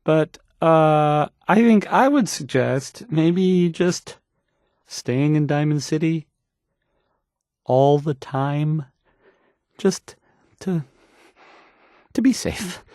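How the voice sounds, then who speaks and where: A man's voice: on the high side, extremely slow, pauses often, a little mellow, no echo, studio quality. An actor playing a nervous, awkward young male radio DJ in a game, stammering and hesitating through the news, rambling and unsure of himself. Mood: flustered, uneasy. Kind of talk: fumbled radio news report in a video game.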